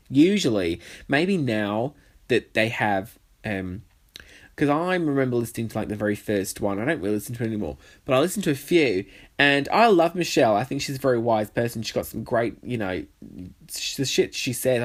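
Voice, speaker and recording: A young man with a nasal voice, hosting a casual solo podcast with cheeky, sweary chatter. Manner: chatty, cheeky and swearing, with lively pitch swings. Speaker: podcast host. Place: bedroom.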